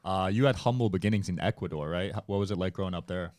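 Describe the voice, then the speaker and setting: A man's voice: low-pitched, fast talker, a little mellow. A man hosting a podcast, asking short questions and giving brief responses. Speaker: podcast host. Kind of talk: casual interview.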